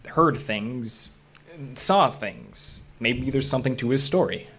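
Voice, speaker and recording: A man's voice: mid-range voice, animated, slow, a bit bright. A man acting the part of a skeptical but curious park ranger in an audio drama, speaking conversationally with some hesitation. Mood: curious, probing. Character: level-headed, skeptical male park ranger with quiet curiosity. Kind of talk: naturalistic audio drama dialogue.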